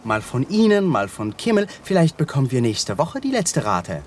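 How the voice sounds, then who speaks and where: An actor playing a needling, suspicious police detective in a radio drama, mocking, clipped and insinuating. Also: male voice, mid-range voice, very animated, moderate pace, background noise, lightly acted. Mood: sardonic. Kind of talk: 1980s German radio drama acting.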